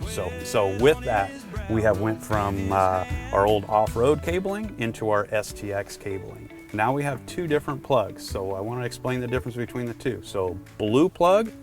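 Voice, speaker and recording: Low-voiced man giving casual tips. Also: expressive, normal speed, soft background music. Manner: informal, conversational, addresses viewer directly. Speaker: presenter giving product tips. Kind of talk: casual instructional explainer.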